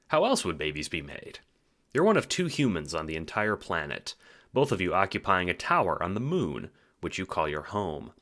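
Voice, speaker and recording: Animated, low-voiced male narrator. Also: normal speed. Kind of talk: scripted second-person audiobook narration.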